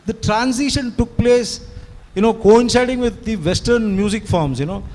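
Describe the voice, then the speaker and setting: A man's voice: extremely high pitch, normal speed, darkish tone, a little hiss or hum. Sixty-year-old man, talkative, echoing hall. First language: Tamil. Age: 60s. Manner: rambling, fluent, mixes Hindi and English, frequent "you know". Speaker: event organizer and speaker. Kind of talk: conversational talk to an audience. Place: live event venue. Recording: long hall reverb.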